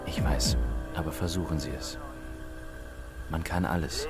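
A quiet, caring man offers steady comfort in a radio drama. His voice is deep and soft, his phrases are terse, and music sounds loudly around him. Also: measured pace. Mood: consoling. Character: quietly consoling man caring for a grieving woman. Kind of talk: German radio drama dialogue.

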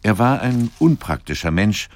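A man reading scripted documentary narration in a measured, articulate storytelling manner. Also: lowish voice, lively intonation.

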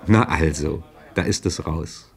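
A man's voice: extremely low pitch, a little slow, gravelly, heavily acted. An actor playing a knowing male narrator in a radio play, conversational and wry as he follows his hero. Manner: conversational, wry, present-tense commentary. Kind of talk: scripted radio drama narration.

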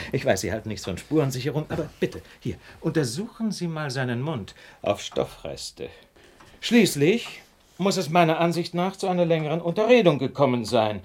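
An actor playing a brilliant detective in a German radio play, precise and didactic, with ironic, condescending politeness. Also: male voice, medium pitch, lively intonation, moderate pace, close to an everyday voice. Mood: emphatic, lecturing. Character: brilliant male detective, superior, calmly lecturing, ironic.

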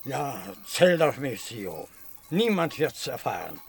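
Creaky, humble old shepherd. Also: male voice, mid-range voice, sing-song, takes it slowly, soft background music, exaggerated voice. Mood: deferential, reassuring. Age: older. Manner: deferential, obliging. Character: humble, loyal old shepherd serving a mafia boss. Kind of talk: radio crime drama acting.

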